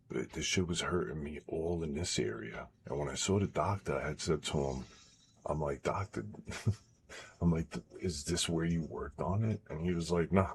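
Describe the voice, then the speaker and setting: A young man with a very low baritone, talking to his live-stream chat in a blunt, profane, offhand way. His voice is strained and sore from recent throat surgery, and now and then it thins out to a whisper. A faint hum of noise sits under him. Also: vocal fry.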